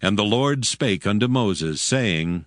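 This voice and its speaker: A man narrating scripture, slowly announcing chapter headings. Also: fairly low pitch, lively intonation, no echo, clean studio sound.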